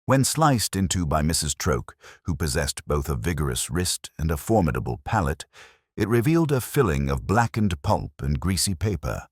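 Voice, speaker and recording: A man narrating a literary mystery story in a measured, formal way. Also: sits low, big pitch swings, slightly rough, no echo, studio quality.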